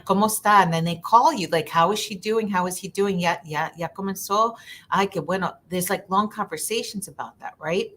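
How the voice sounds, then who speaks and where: A woman's voice: mid-range voice, fairly quick, a bit bright. A woman from Ecuador, a social worker, talking earnestly in a live conversation and often repeating words while she thinks.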